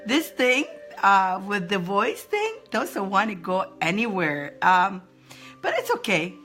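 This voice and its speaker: A woman with a squeaky voice hosting a live spiritual advice stream from home, chatting casually and greeting viewers by name. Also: very animated, slow pace, fairly bright tone, faint music behind. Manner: greets viewers by name in high sing-song, laughing often.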